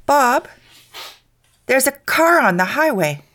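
A woman's voice: sits high, sing-song, slow, a bit bright, full character voice. A woman playing a wary, plainspoken rural farm wife in an audio drama, with a terse, naturalistic delivery. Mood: matter-of-fact.

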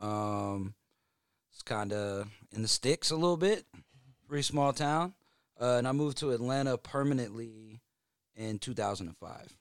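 A man from North Carolina speaking as a podcast guest, halting with lots of ums and restarts. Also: mid-range voice, clear, resonant tone, no echo, clean studio sound. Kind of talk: casual interview conversation.

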